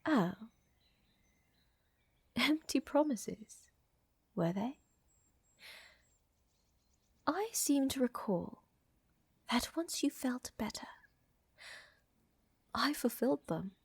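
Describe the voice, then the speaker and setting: Casting: woman to play flirtatious vampire countess, genteel and tender, higher-pitched breathy voice, soft whispery intimate ASMR roleplay delivery. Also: expressive, very slow, long pauses, dry recording, heavily acted.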